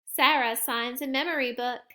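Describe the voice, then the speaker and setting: A woman teacher reading a picture book aloud to her young students in a gentle, expressive storytime manner. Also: sits high, moderate pace. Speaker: kindergarten teacher.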